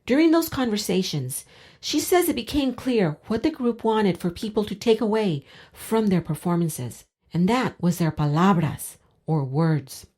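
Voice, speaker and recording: A woman's voice: medium pitch, animated, measured pace, a little mellow. A woman radio reporter reading a scripted news narration.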